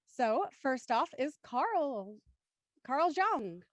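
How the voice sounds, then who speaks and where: A woman with a high voice moderates a panel discussion. The sound is very dry, and she speaks as a host guiding guests through the segment. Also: very animated, very slow, dry recording.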